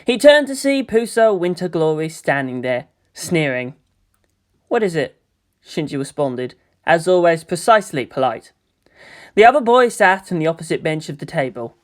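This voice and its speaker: Guy reading a story out loud, audiobook narrator style, scripted prose. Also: a little high, big pitch swings, normal speed. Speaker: narrator reading fan fiction aloud.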